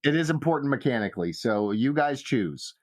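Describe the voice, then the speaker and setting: A man's voice: medium pitch, animated, fairly quick. A man speaking as game master of a tabletop role-playing show, in casual narration.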